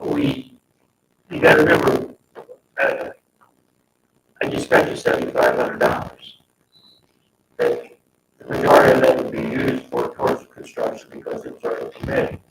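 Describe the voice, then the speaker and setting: A man speaking informally at a board meeting, halting and repeating phrases as he explains. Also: extremely low pitch, completely flat delivery, gravelly. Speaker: parks board member. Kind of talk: informal public meeting discussion. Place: indoor town board meeting room. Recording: echoey, noisy meeting room, distant mic.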